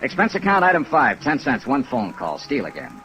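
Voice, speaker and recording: An actor playing a dry, persistent insurance investigator and narrator in 1950s radio style, wry, clipped and matter-of-fact. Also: male voice, medium pitch, wildly swooping pitch, brisk, a bit bright. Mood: matter-of-fact narrating. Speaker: lead character and narrator. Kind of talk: 1950s radio detective acting. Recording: noisy vintage radio recording.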